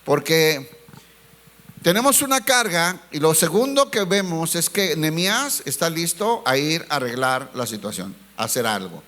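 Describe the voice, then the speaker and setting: A pastor with a voice pitched a little above the usual for a man delivers a sermon. He keeps throwing rhetorical questions at his congregation and calls them brothers. He drags out key words to stress them, then rushes through the lines in between. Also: expressive, sharp tone. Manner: preachy, rhetorical questions, addresses listeners as brothers.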